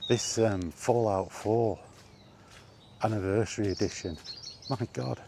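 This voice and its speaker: A man's voice: fairly low pitch, a little slow, creaky, mellow, slight background noise. A man talking off the cuff in an exasperated gaming rant, emphatic and full of rhetorical questions. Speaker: solo video game vlogger.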